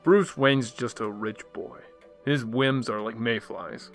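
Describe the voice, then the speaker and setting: A man's voice: medium pitch, takes it slowly, gravelly, faint music behind. A man playing a rich playboy who is secretly a brooding vigilante in a radio drama. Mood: frustrated, defensive.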